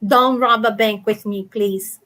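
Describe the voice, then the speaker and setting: A woman hosting a casual livestream chat, talking loudly and animatedly and laughing often. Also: mid-range voice, steady tone, measured pace. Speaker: livestream host.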